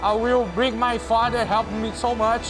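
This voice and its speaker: A man from Brazil with a Brazilian Portuguese accent and Portuguese as his first language. He speaks halting, earnest English as a robot competitor giving a casual interview. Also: extremely high pitch, music in the background, low-quality recording. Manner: halting non-native English, earnest and excited.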